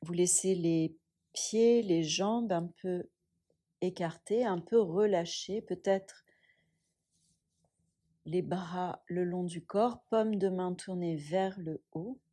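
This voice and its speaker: Soft hushed lady leading a guided meditation, really unhurried, airy mellow voice, lots of pauses. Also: fairly low pitch. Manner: soft, soothing, measured instruction with long pauses. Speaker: yoga nidra instructor.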